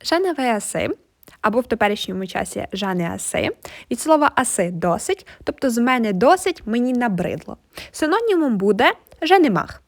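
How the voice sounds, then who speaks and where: A woman narrates a language lesson. Her voice is on the high side, and she explains phrases as a teacher would. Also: very animated, moderate pace. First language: Ukrainian. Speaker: French teacher.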